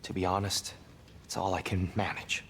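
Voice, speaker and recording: Broken old-world god for a game: lowish voice, meek, halting, reverberant. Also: male voice, little pitch movement, a little hiss or hum. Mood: meek, humble. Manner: halting, gentle, subdued. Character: broken, weary, humble giant war god freed from captivity. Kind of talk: dramatic video game acting.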